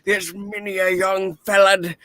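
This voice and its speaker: Miserly old male boss for a radio play, man acting: high, bright, slow-paced, irritable and scornful. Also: flat delivery, no echo, studio quality. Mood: scolding, scornful. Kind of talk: dramatic play reading, radio-play style.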